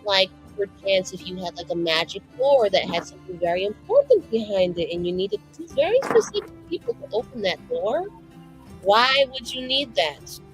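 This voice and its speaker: A woman's voice: mid-range voice, big pitch swings, moderate pace, soft background music, pitched below the performer's own voice, rough recording. A woman voicing a nervous, scheming young mage in a fantasy tabletop game, rambling, slangy and anxiously earnest. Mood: evasive, rambling.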